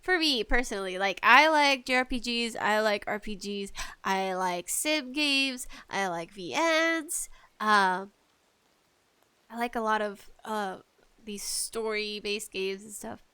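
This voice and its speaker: A woman with a bright, smooth voice hosts a casual solo chat. She takes her time and rambles along, putting enthusiastic swings of emphasis on her words. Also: mid-range voice, slow. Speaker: podcast host talking about video games.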